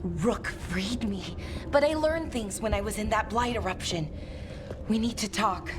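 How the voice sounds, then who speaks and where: Freed captive mage played by a woman for a game: medium pitch, slow, halting, earnest, slight background noise. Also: close to an everyday voice. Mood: shaken, urgent. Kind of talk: dramatic game cutscene acting. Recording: faint ambient noise behind the voice.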